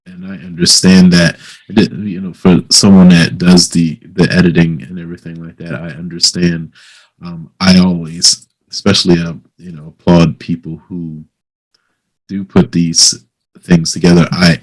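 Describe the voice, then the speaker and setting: A man's voice: low-pitched, darkish tone, rough recording. A man hosting a casual podcast interview, talking in a hesitant, rambling way and repeating phrases as he builds a thought.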